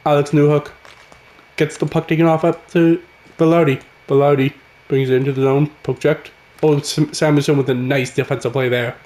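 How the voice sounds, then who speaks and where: Raspy man calling a hockey game. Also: on the high side, normal speed, reverberant. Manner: excitable, calls the action as it happens. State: raspy voice from a cold. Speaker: gaming channel host. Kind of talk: casual play-by-play commentary over a hockey video game.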